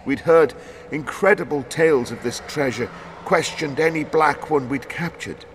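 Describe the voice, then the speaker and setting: An actor playing a nervous, guilt-ridden former soldier in a fantasy video game, halting and half broken. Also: male voice, on the high side, a little hiss or hum, close to an everyday voice. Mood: haunted confessing.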